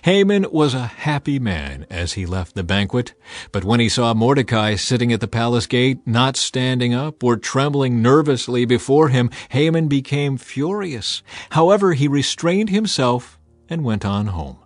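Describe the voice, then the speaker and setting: A man's voice: medium pitch, expressive, normal speed, dry recording. A man narrating a dramatized scripture audiobook in an emphatic, theatrical storytelling manner. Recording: music bed behind the voice.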